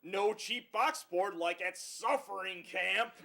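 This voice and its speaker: A man playing a cheery pitchman narrating a spoof advert for a miserable summer camp. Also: slow pace, creaky, pushed and bright, heavily acted. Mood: mock cheerful.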